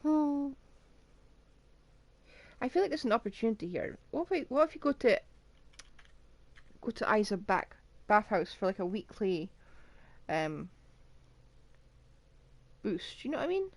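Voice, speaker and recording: Lively woman streamer thinking aloud. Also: medium pitch, unhurried, pauses often, dry recording. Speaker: game streamer. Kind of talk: casual live game commentary. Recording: game music behind the voice.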